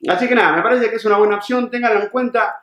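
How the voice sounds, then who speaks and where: A man with a slightly high-pitched voice talks as the host of a casual how-to vlog. He explains things plainly and often repeats words for emphasis. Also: fairly even intonation, racing, fairly bright tone, echoey room. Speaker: YouTube host of a motorcycle channel.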